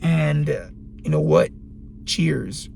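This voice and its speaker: A man with a very gravelly, raspy voice talks slowly and deliberately, leaning on long pauses. He speaks from a parked car at night, with a faint hum of noise behind him. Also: on the high side, sing-song, darkish tone. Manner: slow, deliberate, emphatic pauses. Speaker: vlogger. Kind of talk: reflective late-night monologue.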